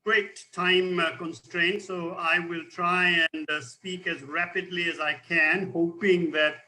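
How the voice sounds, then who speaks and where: A man's voice: high-pitched, flat delivery, measured pace, sharp tone, poor audio. An older man with an Indian accent, a retired naval officer speaking as a guest expert in formal, elaborate language over a remote link.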